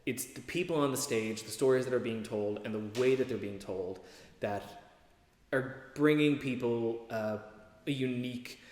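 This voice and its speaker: A man of medium pitch shares his thoughts in an informal interview manner. The recording is strongly echoey, with long reverb around the voice. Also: moderate pace, a little mellow. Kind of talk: casual documentary interview.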